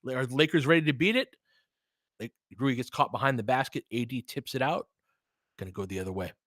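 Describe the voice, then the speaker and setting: Male fan commentator for basketball livestream: bright, forward voice at an average pitch, dry close sound, informal and opinionated. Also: brisk, no room sound. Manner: informal and opinionated, clipped phrases during play, talking back to listeners. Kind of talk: casual fan play-by-play commentary mixed with chat.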